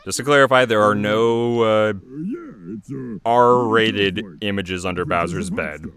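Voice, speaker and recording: A man's voice: lowish voice, fairly even intonation, takes it slowly, a bit bright. A man giving casual, off-the-cuff creator commentary on his own animation, hesitant and repeating himself. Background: audio of the cartoon episode.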